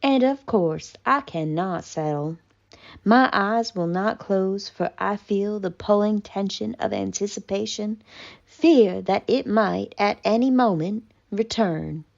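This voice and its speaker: A woman voicing a haughty, melodramatic, traumatized female cat in a pompous, mock-tragic theatrical monologue with deliberate pauses. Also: medium pitch, creaky, muffled recording. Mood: brooding, haunted.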